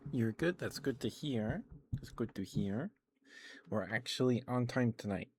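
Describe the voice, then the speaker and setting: A soft-spoken man hosting a casual ASMR livestream, talking to viewers in an unhurried, affectionate way. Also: mid-range voice, animated, a little hiss or hum. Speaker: streamer, host of the show.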